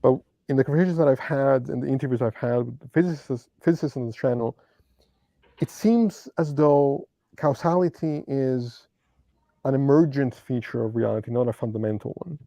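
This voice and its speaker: A man's voice: mid-range voice, normal speed, vocal fry, mellow, no room sound, muffled recording. A man speaking English as a second language, who hesitates and gropes for words with many ums while discussing philosophy as a guest. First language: not English. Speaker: debate guest.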